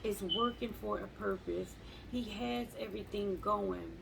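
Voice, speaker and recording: A woman talking slowly and haltingly in a reflective live journal at home, often repeating words as she searches for them. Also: medium pitch, vocal fry, background noise. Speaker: vlogger.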